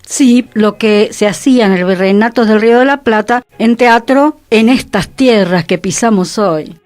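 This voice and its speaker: Higher-voiced woman co-hosting radio. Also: moderate pace, darkish tone. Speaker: radio co-host. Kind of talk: conversational radio presenting.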